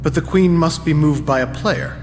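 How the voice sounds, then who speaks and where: An actor playing an earnest, questioning disciple of a god in an audio drama. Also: male voice, a little high, normal speed, lightly acted. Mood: searching. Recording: long reverb, loud music underneath.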